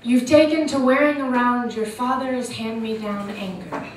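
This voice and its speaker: A woman performing spoken word poetry in a measured, rhythmic way. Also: on the high side, a little hiss or hum. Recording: echoey hall from far off, music behind.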